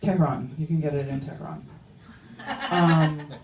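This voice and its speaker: A woman's voice: sits low, fairly even intonation, measured pace, lo-fi sound, noisy background. Woman, young, stand-up comic, chatty and self-mocking, roomy club sound, mic a bit distant. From Iranian-American. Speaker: stand-up comedian. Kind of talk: stand-up comedy set. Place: comedy club stage.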